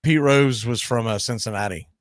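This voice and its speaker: A man's voice: medium pitch, moderate pace, dry recording, studio quality. A man hosting a casual baseball talk show, conversational and often checking in with tag questions. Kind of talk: casual sports discussion.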